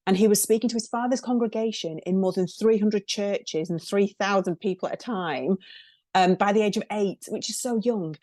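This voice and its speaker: A woman's voice: mid-range voice, moderate pace, a little mellow, no echo. A woman hosting a podcast, introducing her guest in a chatty, enthusiastic way with lots of fillers. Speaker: podcast host.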